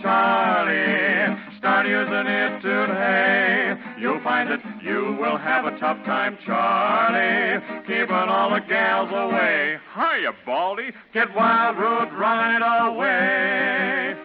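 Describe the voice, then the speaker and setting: A man's voice: high-pitched, slow pace, few pauses, over loud music. A man singing a 1940s radio commercial jingle. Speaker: jingle singer. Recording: muffled 1940s radio broadcast.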